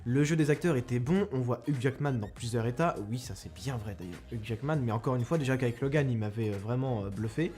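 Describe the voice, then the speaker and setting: A man's voice: medium pitch, fast, few pauses, a little mellow, soft background music. A young man giving a casual spoken film review, rambling and self-mocking with many restarts, close to a microphone.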